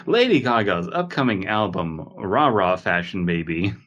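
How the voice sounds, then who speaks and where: A man's voice: sits low, very animated, normal speed, fairly bright tone, highs cut off. A man in casual comedic podcast chat, playfully riffing on ideas and swearing freely. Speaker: podcast panelist.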